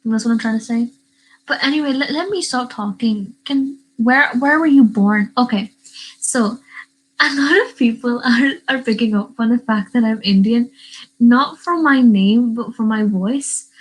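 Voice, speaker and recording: A woman's voice: on the high side, unhurried, rough recording. A teenage girl from Northern Ireland chatting casually on a livestream, rambling and answering questions from the live chat. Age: teens. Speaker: YouTuber. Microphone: webcam.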